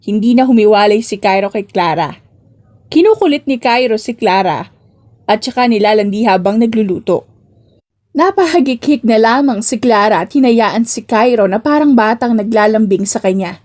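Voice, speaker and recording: A woman's voice: fairly high pitch, lively intonation, normal speed, darkish tone. A woman reading scripted romance story narration as an audiobook narrator.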